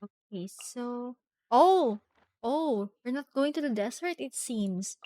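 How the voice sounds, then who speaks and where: A woman's voice: on the high side, animated, slow, dark tone, a little hiss or hum. A woman giving casual live commentary as she plays a video game, thinking aloud in short murmured reactions. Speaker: streamer playing a video game.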